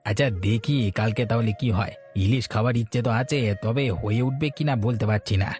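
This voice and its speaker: A man's voice: medium pitch, brisk, a little mellow, soft background music, close to an everyday voice. A man voicing a sensible, weary working husband in a cartoon story, plain-spoken and homely in his talk. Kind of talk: Bengali cartoon story dialogue.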